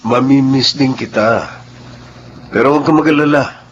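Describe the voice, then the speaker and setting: A man with a Filipino accent playing a smooth-talking, secretive married lover in a drama. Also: medium pitch, moderate pace, lo-fi sound, close to an everyday voice. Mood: evasive, coaxing. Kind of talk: dramatized Filipino radio-style drama acting.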